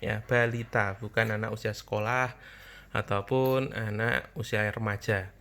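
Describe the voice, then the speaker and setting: A man's voice: lowish voice, fairly even intonation, a little slow, creaky, a bit bright. Chatty Javanese man talking parenting. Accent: Javanese-accented Indonesian. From Java. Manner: chatty, repeats points, addresses parents directly. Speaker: parenting channel host. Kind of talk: casual talk to camera.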